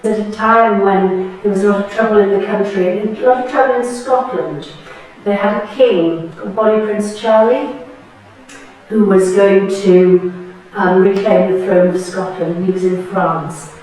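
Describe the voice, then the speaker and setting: A woman of about sixty from Tyneside, thoughtfully recalling her memories in an oral history interview, pausing and restarting as she goes. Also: mid-range voice, fairly even intonation, darkish tone. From Tyneside, England. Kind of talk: reflective personal reminiscence. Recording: very echoey room, distant mic.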